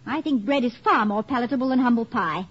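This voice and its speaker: An actress playing a college president's witty, loyal wife in a 1950s radio comedy, speaking in an arch, teasing, literate way. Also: female voice, fairly high pitch, fast, slightly rough. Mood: supportive, amused. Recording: muffled, faintly noisy 1950s radio recording.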